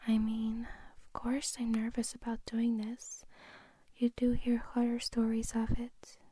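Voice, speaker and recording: A woman's voice: fairly high pitch, completely flat delivery, unhurried, dark tone, husky, no room sound. A woman whispering in a teasing way, playing a girlfriend in an intimate audio roleplay.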